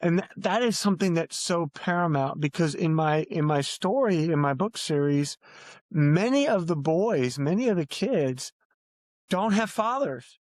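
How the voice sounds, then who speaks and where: A man's voice: a little high, lively intonation, a little slow, no echo. A man talking earnestly as an author guest on a podcast, repeating phrases for emphasis. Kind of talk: earnest podcast interview talk.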